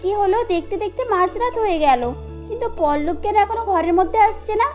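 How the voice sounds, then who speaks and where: A woman's voice: very high-pitched, lively intonation, brisk, darkish tone, faint music behind. A woman voicing an anxious, wronged young village bride in a cartoon story, plaintive in manner.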